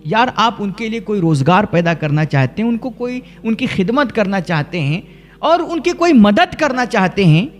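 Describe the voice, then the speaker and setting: A man from India preaches in an earnest, emphatic way, leaning on rhetorical questions and deliberate repetition. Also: sits high. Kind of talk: rhetorical religious sermon.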